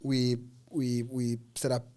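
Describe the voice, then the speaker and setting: A man's voice: mid-range voice, slow pace. South african guy telling his story, lots of ums, does little voices for people. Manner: animated, acts out dialogue with voices, hesitant fillers. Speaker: interview guest. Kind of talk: casual storytelling interview.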